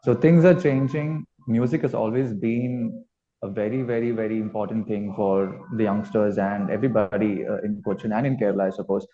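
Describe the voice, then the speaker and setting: Lowish, mellow man, slightly muffled. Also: fairly even intonation, brisk. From India. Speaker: band musician being interviewed. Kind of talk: casual interview talk. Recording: slightly dull, muffled audio.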